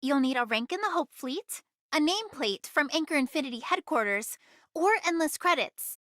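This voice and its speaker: A woman voicing a bold, mischievous young girl in an anime video game, with a perky, expressive delivery. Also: high-pitched, normal speed, no room sound, studio quality. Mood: serious, explaining.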